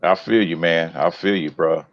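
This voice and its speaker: A man's voice: deep voice, fairly even intonation, normal speed. An American man chatting casually as a live stream guest, in familiar, brotherly slang. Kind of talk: casual chat. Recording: dull lo-fi stream connection.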